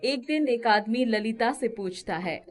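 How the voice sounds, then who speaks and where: Slow, high-voiced woman storyteller. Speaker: storyteller, narrator. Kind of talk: scripted children's story narration.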